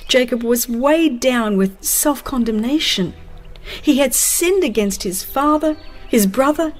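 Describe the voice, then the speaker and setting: A woman narrating a dramatized religious audiobook in a solemn, deliberate storytelling manner with dramatic pauses. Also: a little high.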